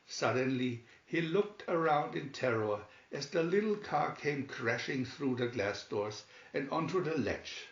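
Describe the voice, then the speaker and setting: A man reading a storybook aloud in a deliberate, dramatic narrating style. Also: mid-range voice, expressive. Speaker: storybook reader. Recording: dull, echoey room recording.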